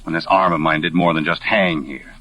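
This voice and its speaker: An actor playing a bitter young wounded war pilot, brooding and intense, in a 1940s radio drama. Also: male voice, very deep voice, steady tone, fairly quick, pushed and bright. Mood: bitter, despairing. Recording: old 1940s radio recording, faint noise.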